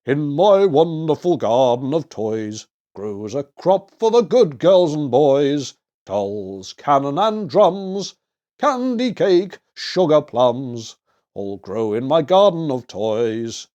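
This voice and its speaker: A merry old storybook gift-giver, as a man reader plays him. He is hearty and jovial, with a lively voice that swings widely in pitch. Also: slow, muffled recording. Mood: singing happily.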